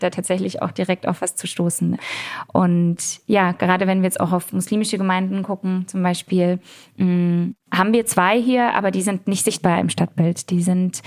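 A woman's voice: on the low side, darkish tone. A young woman giving reflective, explanatory answers as a guest in a conversational interview. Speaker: interview guest, political educator.

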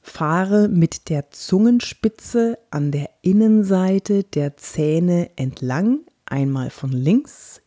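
A woman's voice: on the low side, mellow. Podcasting woman with a light Bavarian lilt. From Franconia, Germany. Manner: guides exercises slowly and deliberately; chatty and personal. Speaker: actress and body language coach. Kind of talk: podcast voice lesson.

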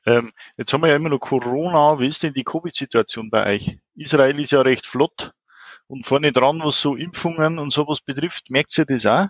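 A man's voice: mid-range voice, moderate pace, no echo. A man asking questions as an interviewer in a casual, conversational style. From Bavaria, Germany. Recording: dull, dry lo-fi sound.

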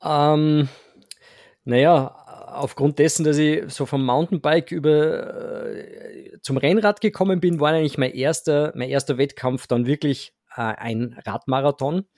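A man's voice: on the high side, a little slow, darkish tone, no room sound, studio quality. A man, a guest on a casual podcast, talking hesitantly with fillers and self-deprecating humor. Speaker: podcast guest, amateur cyclist.

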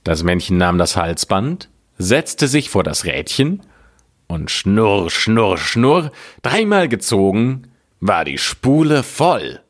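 A man narrating a scripted fairy tale as a storyteller, in a measured, deliberate way. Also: on the low side, sing-song.

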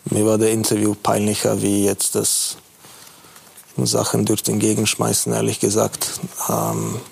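A man's voice: fairly low pitch, measured pace, vocal fry, slightly rough. A man with a foreign accent, German not his first language, a football coach answering candidly and self-critically in a studio interview, with many hesitations.

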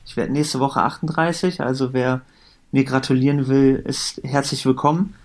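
A man's voice: medium pitch, steady tone, moderate pace. A man in his late thirties from Germany, a company co-founder talking casually in a podcast interview, with many fillers and restarts. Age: 30s. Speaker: company co-founder and managing director.

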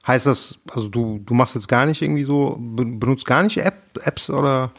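A young man with a medium-pitched voice chats as a podcast co-host. He is easygoing and informal, dropping in short comments and questions to move the conversation along. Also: moderate pace, vocal fry. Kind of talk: casual chat.

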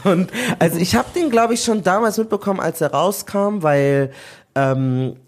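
A man from Nigeria, a guest telling lively stories in casual chat, laughing often as he talks. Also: high-pitched, moderate pace.

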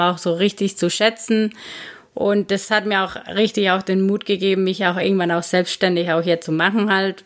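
Guatemalan woman with a Spanish accent. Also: medium pitch. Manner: frequent hesitations, non-native grammar. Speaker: bar owner, guest. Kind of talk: casual interview chat.

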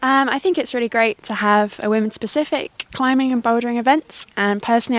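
Thoughtful woman from Bristol. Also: mid-range voice. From Bristol, England. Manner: thoughtful, hedging with "kind of". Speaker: festival participant. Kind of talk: casual interview answer.

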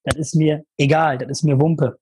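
A man's voice: on the high side, moderate pace, slightly rough. Young man telling his story eagerly. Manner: energetic, animated storytelling with many fillers. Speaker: guest, former mastermind participant. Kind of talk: casual conversational interview.

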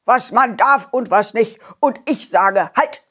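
A woman's voice: a little high, takes it slowly, fairly bright tone, breathy edge, full character voice. Woman as a bossy, blocky big stone. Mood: stern, bossy. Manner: commanding, blunt.

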